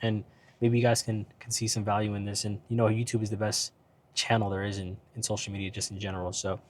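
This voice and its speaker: A man's voice: lowish voice, little pitch movement, fast talker, a little mellow. A man talking casually about business while driving, rambling with many fillers and asides, his voice starting to go from illness. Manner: rambling, filler-heavy, keeps asking "right?". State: ill, voice starting to go. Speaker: business vlogger. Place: in a car.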